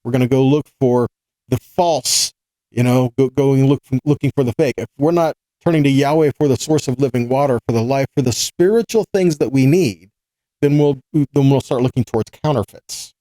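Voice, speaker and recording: A man's voice: mid-range voice, normal speed, mellow, dry recording, low-quality recording. Man teaching scripture, very dry close sound. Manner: didactic, asking rhetorical questions and checking in with "right?". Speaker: religious teacher giving a weekly scripture teaching.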